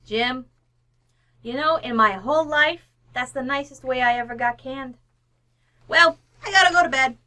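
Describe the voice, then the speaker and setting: A woman's voice: high voice, normal speed, pushed and bright. A woman playing a breezy, blunt, slangy housekeeper who loves betting, in an old-time radio comedy. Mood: stubbornly cheerful. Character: brash, uninhibited, horse-betting housekeeper, woman.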